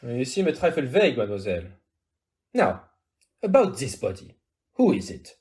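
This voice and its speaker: A man's voice: medium pitch, sing-song, moderate pace, a little mellow, natural voice. A man playing a courteous, self-assured Belgian detective in an audio play, with a French-speaking Belgian accent and formal, precise, theatrical phrasing. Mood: probing, insistent.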